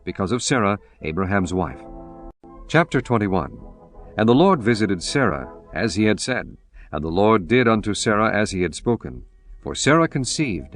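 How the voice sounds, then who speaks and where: A man's voice: on the low side, a bit bright. A man narrating a scripture audiobook in a solemn, formal manner, reading archaic text as a scripted performance.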